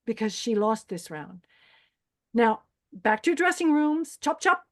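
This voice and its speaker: A woman acts out a bossy female pageant host with a somewhat high voice. She is brisk and commanding, with menace under the orders, as read aloud in a script table read. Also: big pitch swings. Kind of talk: screenplay table read acting.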